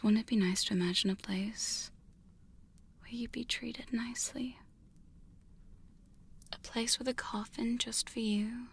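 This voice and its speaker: A woman acts out a sly vampire huntress for an intimate ASMR roleplay. Her voice is husky and airy, often sinking into a whisper, at an unhurried pace. She sounds defiant and coaxing, taunting her foe right up against the microphone. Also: medium pitch, a little mellow. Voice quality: breathy, whispery. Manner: taunting, intimate, drawn-out phrasing with sudden firmer lines. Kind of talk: ASMR hypnosis roleplay, second-person. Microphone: close-up studio condenser and binaural microphone.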